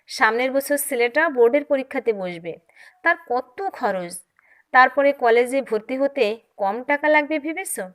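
Woman acting an indignant, scolding husband, high-pitched. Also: expressive, measured pace. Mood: angry, worried. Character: angry, worried husband and father, scolding his wife.

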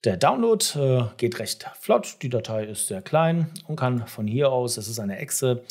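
Male tutorial host, forties, mid-pitched and a little rough-edged, direct and instructive, explaining step by step. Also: big pitch swings, unhurried, darkish tone. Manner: direct, instructive, addressing the viewer. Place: small home studio room, indoors.

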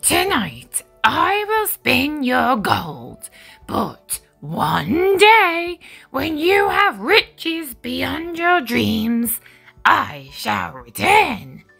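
A woman's voice: high-pitched, wildly swooping pitch, extremely slow, vocal fry, bright, forceful tone, slightly rough, soft background music, heavily acted. A woman voicing a sly, smirking little magical man in a storybook reading.

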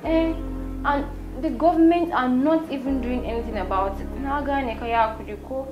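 A high Nigerian voice from a woman acting as an educated Hausa wife doting on her husband. She coaxes tenderly and switches languages as she goes. The room echoes and music plays clearly behind. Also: moderate pace, muffled recording, close to an everyday voice. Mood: tender, coaxing.

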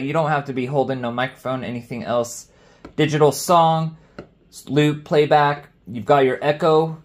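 A man giving casual, slangy, off-the-cuff commentary as he reviews a product. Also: a little high. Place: small indoor room with shelves of speakers.

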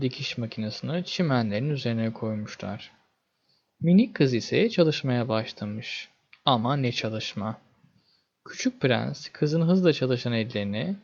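Male storyteller for fairy-tale narration, expressive and dramatic, very animated pitch, mid register. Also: lo-fi sound.

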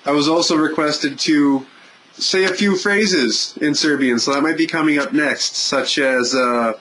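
Slow-talking Canadian man on a webcam. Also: fairly high pitch, a little hiss or hum, rough recording. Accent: Canadian English. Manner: animated and gesturing, with filler pauses. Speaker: vlogger. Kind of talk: casual webcam vlog. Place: home room indoors. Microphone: webcam at desk.

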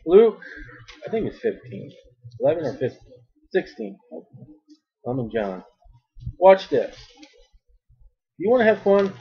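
Loud high-voiced man preaching. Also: sing-song, measured pace, long pauses, husky, dull audio. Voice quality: big loud voice. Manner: loud, emphatic preaching that swells in volume. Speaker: street preacher. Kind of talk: sermon.

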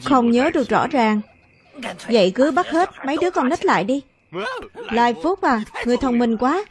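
A woman dubbing the characters of an animated film, with music behind her. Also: on the high side, moderate pace. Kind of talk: dubbing all roles of an animated film in Vietnamese.

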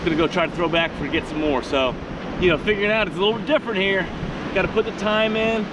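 A man's voice: high voice, fast talker. A man in his thirties giving casual, folksy fishing vlog commentary outdoors. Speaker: fishing vlogger. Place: outdoors on an open, windy ocean beach. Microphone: camera on the sand, a few steps away or farther. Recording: distant mic, loud surf and wind. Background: ocean waves and wind.

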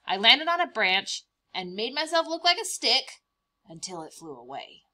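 A woman voicing a cheerful, boastful young praying mantis in a picture-book read-aloud, expressive and emphatic, stretching out words. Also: a little high, normal speed, full character voice. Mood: cheerful, dramatic.

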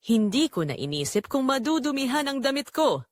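A woman's voice: high-pitched, big pitch swings, moderate pace, no echo, clean studio sound. A woman with a Filipino accent narrating a scripted first-person romance as a tender young call center agent. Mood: heartfelt, wistful. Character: tender young female call center agent telling her love story. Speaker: story narrator.

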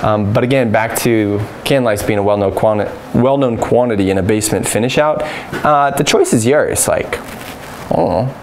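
A man's voice: medium pitch, moderate pace. An American man in his thirties, an electrician giving informal, matter-of-fact hands-on instruction in an unfinished basement. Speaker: electrician presenting a how-to tutorial. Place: unfinished basement with exposed joists. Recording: clearly noisy room ambience.

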